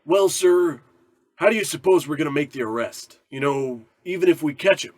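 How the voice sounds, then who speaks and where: Earnest male sergeant, an actor's part, radio comedy. Doubtful, husky voice, slightly high. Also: expressive, normal speed, a little mellow, no echo. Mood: hesitant. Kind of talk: old-time radio comedy acting.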